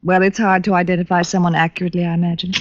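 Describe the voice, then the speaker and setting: An actress playing a polite but guarded, deceitful widow in an old radio drama. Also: female voice, fairly low pitch, flat delivery, very fast, close to an everyday voice. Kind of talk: 1940s radio acting. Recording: dull old radio recording.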